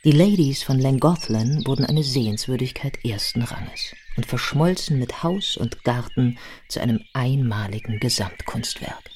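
An actress playing a thoughtful modern biographer, giving measured, articulate literary narration in a radio play. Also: female voice, a bass voice, expressive, a little mellow, a little hiss or hum. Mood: reflective.

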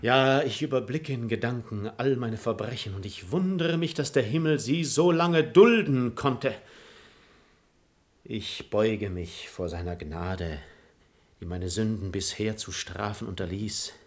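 Proud hypocrite nobleman, lowish voice, grand radio drama speeches, cynical and eloquent. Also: measured pace. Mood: feigned piety. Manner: eloquent, declamatory, haughty. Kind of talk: German radio play acting, theatrical.

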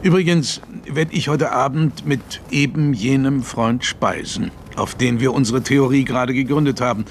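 An actor playing a genial, worldly older London lawyer with an urbane, measured, wry manner in a radio play. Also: male voice, medium pitch, a little hiss or hum, close to an everyday voice. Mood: wry, avuncular. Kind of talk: German radio play dialogue.

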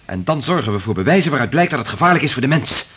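Husky, intense male scientist. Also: mid-range voice, very animated, rapid-fire, a bit bright. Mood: agitated, forceful. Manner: earnest, emphatic, dramatic. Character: anxious, principled male research scientist, Dr Frank. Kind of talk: Dutch radio drama acting.

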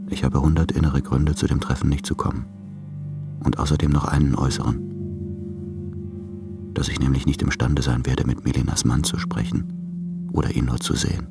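An actor playing a weary, anxious man of thirty-eight in a brooding, intimate radio drama monologue. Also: a bass voice. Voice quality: gravelly, husky. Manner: brooding, hushed, deliberate.

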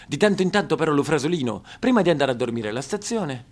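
A man's voice: fairly high pitch, expressive, fast. A male stage actor performing a theatrical monologue, swinging between scolding the audience and telling a story.

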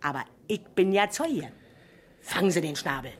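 Actress as low-voiced, agitated gossipy woman. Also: lively intonation, moderate pace, natural voice. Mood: indignant, gossipy. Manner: chatty, insistent, opinionated. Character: agitated, gossipy, resentful woman eyewitness of a crash. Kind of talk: 1970s German radio crime drama acting.

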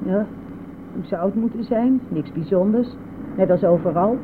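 A woman's voice: medium pitch, normal speed, phone-quality sound, natural voice. Woman playing a flustered old village woman, noisy thin recording. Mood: gossipy. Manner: hesitant, flustered, naive. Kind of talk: radio play dialogue.

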